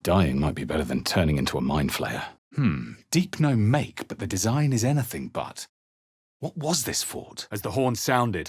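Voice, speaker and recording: A male fantasy adventurer for a video game. His voice is deep and husky, recorded dry and close. He sounds brooding and wry, with measured, dramatic delivery. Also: no echo, heavily acted. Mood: wry, pondering. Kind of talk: video game voice lines and narration.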